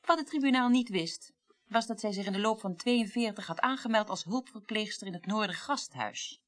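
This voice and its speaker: An actress playing a weary, well-read middle-aged woman in a radio play, recounting the past deliberately and articulately. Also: medium pitch, natural voice. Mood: grave. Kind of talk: 1980s radio play acting.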